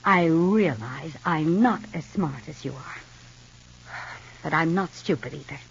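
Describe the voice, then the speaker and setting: A woman's voice: sits low, measured pace, vocal fry. An actress in an old radio soap opera playing a resentful, defensive mature single woman with pointed retorts. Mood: bitter, suspicious. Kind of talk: 1940s-50s radio soap opera acting. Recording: muffled old radio recording.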